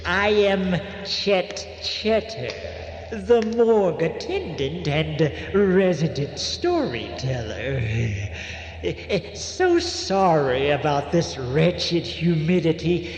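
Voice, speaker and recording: Slow, creepy old morgue storyteller. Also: male voice, on the high side, sing-song. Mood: sly, welcoming. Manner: theatrical, halting, with eerie little interjections. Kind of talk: old-time radio horror host narration. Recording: echoey long reverb, faint noise.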